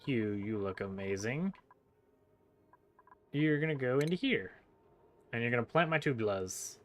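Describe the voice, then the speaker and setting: A man's voice: mid-range voice, lively intonation, measured pace. A man giving casual gameplay commentary, playfully thinking aloud and breaking into exclamations. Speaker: gaming video host.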